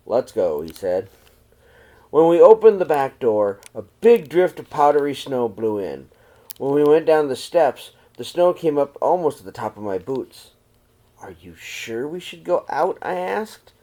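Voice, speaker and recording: Man reading a storybook aloud, very varied pitch. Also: sing-song, a little slow, warm tone. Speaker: teacher reading aloud to young children. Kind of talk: read-aloud storybook narration.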